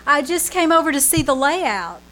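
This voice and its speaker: Actress as breezy, high-voiced radio-comedy flirt. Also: female voice, sing-song, moderate pace, fairly bright tone, low-quality recording. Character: breezy, forward, flirtatious single woman. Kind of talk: old-time radio comedy acting. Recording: live stage performance with clear noise.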